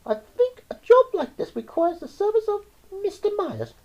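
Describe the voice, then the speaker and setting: Woman acting a brisk, high-pitched storybook mum. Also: huge pitch swings, warm tone, lo-fi sound, exaggerated voice. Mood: brisk, firm. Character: brisk, level-headed mother, plucky and outspoken. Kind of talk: theatrical verse storytelling.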